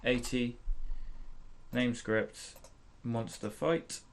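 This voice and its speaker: A man hosting a casual software tutorial, thinking aloud hesitantly as he demonstrates. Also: medium pitch, very slow. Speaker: tutorial host.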